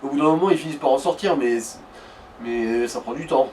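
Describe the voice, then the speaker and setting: A man's voice: mid-range voice, fairly quick, darkish tone. Man chatting casually, distant from the mic. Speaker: advisor to the player in a let's play. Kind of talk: casual explanatory gaming chat. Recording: distant mic, faint background noise.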